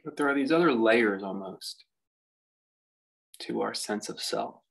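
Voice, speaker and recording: Male meditation guide, gravelly and husky, contemplative delivery with lots of space between phrases. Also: on the low side, expressive. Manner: unhurried, reflective delivery with long pauses. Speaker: meditation teacher.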